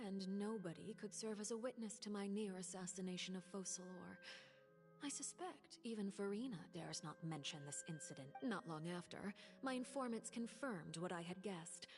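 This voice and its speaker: A woman acting a cool, calculating, secretive female spymaster in a video game, speaking in a measured, formal and deliberate way. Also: mid-range voice, animated, over music, lightly acted. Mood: brooding, calculating.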